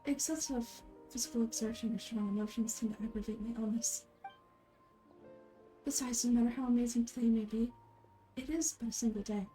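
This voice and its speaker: A woman voicing a gentle, frail and resigned young noblewoman in game dialogue, measured and formal. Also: fairly high pitch, fairly even intonation, faint music behind, close to an everyday voice, poor audio. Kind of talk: amateur game dialogue voice-over.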